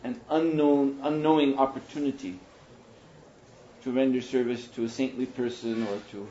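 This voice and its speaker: A man speaking as a spiritual teacher in a question and answer session, thoughtful and unhurried, pausing often. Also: medium pitch, fairly even intonation, a little hiss or hum. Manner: thoughtful, unhurried, with pauses and soft laughs. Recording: roomy hall, muffled and lo-fi.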